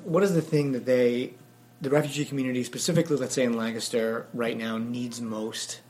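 A man's voice: mid-range voice, fairly even intonation, fairly quick, darkish tone. A male interviewer asking curious, open questions and rephrasing them several ways.

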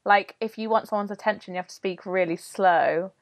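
Woman host, mid pitch, chatty and encouraging, very dry close mic. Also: brisk, no echo. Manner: friendly, encouraging listener, chatty. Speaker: podcast host. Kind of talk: casual interview chat.

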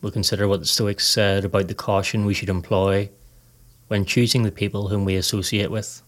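Low, even-toned male meditation guide. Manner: calm, measured, instructional. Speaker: podcast host, meditation guide. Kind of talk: scripted guided meditation narration.

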